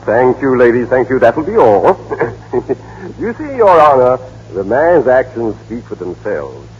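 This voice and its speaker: Animated, formal old-time radio courtroom lawyer. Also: male voice, mid-range voice, unhurried, husky, full character voice. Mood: politely smooth. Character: smooth, insistent male prosecuting lawyer in a courtroom. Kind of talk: 1930s-40s radio drama acting. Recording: muffled, noisy old radio broadcast.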